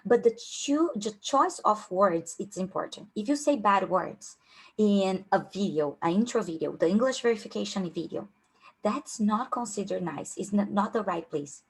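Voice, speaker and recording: A woman's voice: medium pitch, expressive, moderate pace, husky. Brazilian woman giving interview tips. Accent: Brazilian Portuguese. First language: Portuguese. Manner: informal, many fillers and restarts. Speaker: career coach. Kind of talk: online workshop talk.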